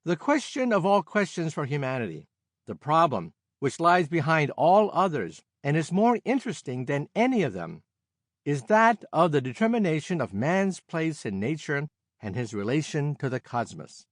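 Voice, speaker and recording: A man reads popular science as an audiobook narrator. His voice sits a little high and moves expressively up and down through measured, scripted sentences. The sound is very dry and close, as in a studio. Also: sing-song, no room sound. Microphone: close studio microphone, dry sound.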